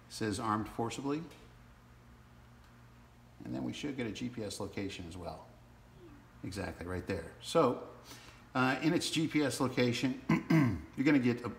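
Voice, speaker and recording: A man giving a casual, halting step-by-step walkthrough as a product demonstrator in a small indoor room. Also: medium pitch. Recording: roomy sound with faint background noise.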